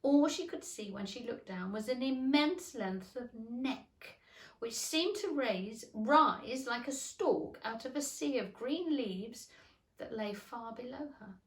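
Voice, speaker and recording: A woman reading a children's storybook aloud in an expressive narrating style. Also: on the high side, slow, recorded from a distance. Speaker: teacher reading to pupils. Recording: echoey room.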